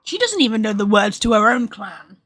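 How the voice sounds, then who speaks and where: A woman's voice: medium pitch, big pitch swings, fairly quick, a bit bright, faint music behind. A woman game streamer giving casual, informal livestream commentary, reacting aloud as she plays.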